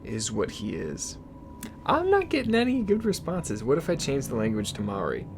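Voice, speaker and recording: Man, native Igbo speaker, casual vlog host, excitable, swears, faint background music. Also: mid-range voice, normal speed. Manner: excitable, swears, laughs in disbelief. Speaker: YouTube host.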